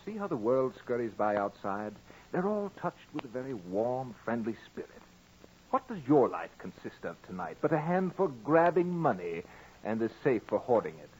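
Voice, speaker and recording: An actor playing a scheming, resentful young gentleman thief, sneering and husky, in theatrical 1940s radio drama style. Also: medium pitch, big pitch swings, moderate pace. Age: young adult. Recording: dull lo-fi 1940s radio recording.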